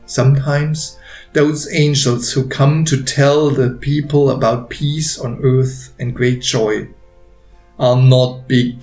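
A man reading his own story aloud as a narrator, with gentle, deliberate phrasing and pauses. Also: mid-range voice, creaky. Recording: roomy echo with faint music behind.

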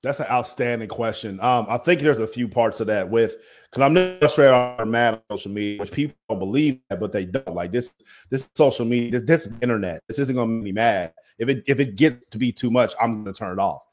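A man with a deep voice, a former basketball coach, speaking bluntly and emphatically as an interview guest. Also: fast. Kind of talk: interview conversation.

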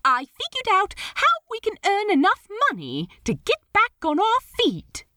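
A woman voicing an eager, theatrical gentleman pirate captain in an audiobook story, chirpy and full of enthusiasm. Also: very high-pitched, wildly swooping pitch, measured pace, no room sound, clean studio sound, pitched above the performer's own voice, heavily acted. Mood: excited, eager. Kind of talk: fan fiction audiobook character voice.

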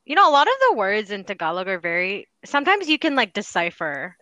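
A woman's voice: mid-range voice, big pitch swings, quick pace, pushed and bright, no echo. A woman co-host from Canada in casual chat, laid-back and self-teasing, laughing often.

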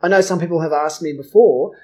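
A man's voice: fairly high pitch, quick pace, darkish tone. A male podcast host asking questions in a friendly, rambling way, with many "um"s and restarts. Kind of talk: casual podcast interview.

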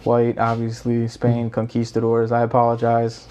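A man's voice: mid-range voice, monotone, moderate pace, a little mellow, a little hiss or hum. A young man co-hosting a podcast, chatting casually in a rambling way, with many restarts and slang. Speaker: podcast co-host. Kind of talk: casual chat.

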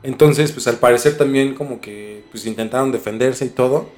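A man's voice: medium pitch, normal speed, a little mellow, faint music behind. A young Mexican man telling a story as a podcast host in a casual, slangy conversational way. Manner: informal, slangy.

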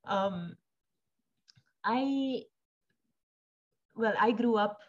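A woman born in India and raised in Singapore, speaking thoughtfully as an interview guest, with frequent pauses, fillers and restarts. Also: a little high, muffled recording. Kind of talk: reflective conversational interview.

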